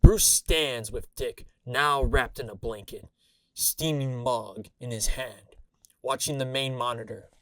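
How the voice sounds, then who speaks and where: A man's voice: medium pitch, fairly bright tone, dry recording. A man reading dramatic scripted narration for an audio drama.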